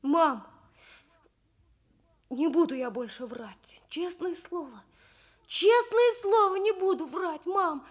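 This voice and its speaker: A woman voicing an excitable, evasive schoolboy who blurts out stubborn excuses in a children's radio story. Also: extremely high pitch, takes it slowly, long pauses, voice pushed up, heavily acted. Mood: guilty, pleading. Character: schoolboy Kostik, evasive, pleading, excitable little boy.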